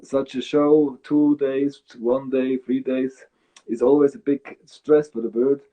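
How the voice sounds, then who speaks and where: Swiss man talking in a halting way. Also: mid-range voice, level tone, warm tone, rough recording. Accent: Swiss German. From Switzerland. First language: Swiss German. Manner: thoughtful, halting, many fillers. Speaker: guest breeder. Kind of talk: casual interview.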